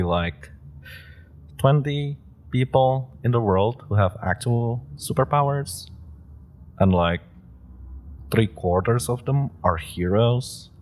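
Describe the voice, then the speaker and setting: A young man voicing an eager, pushy super-powered rookie in an audio roleplay, talking the listener round with persuasive, playful chatter. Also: lowish voice.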